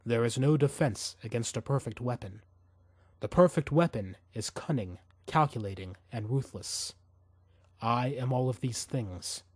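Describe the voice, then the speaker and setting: A man's voice: mid-range voice, a little slow, husky, no room sound, studio quality, pitched below the performer's own voice. A man voicing a ruthless, controlled assassin who solemnly recites a creed in an audio story. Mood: cold resolve. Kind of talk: audio fiction reading.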